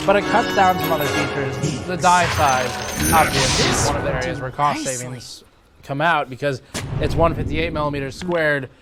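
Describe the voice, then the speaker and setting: A man with a sick, nasal voice, giving a brisk, scripted hardware review. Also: fairly high pitch, over loud music. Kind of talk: scripted tech review narration.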